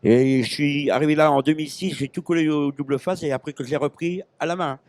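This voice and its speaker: A man's voice: fairly high pitch, fast, a little mellow, dry recording. A man in his fifties from Normandy, a guest chatting in a rambling, hesitant way with many restarts, on a handheld mic at a busy fair. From Normandy, France. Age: 50s. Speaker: interview guest, pig-call champion. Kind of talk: casual chat. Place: busy agricultural fair stand.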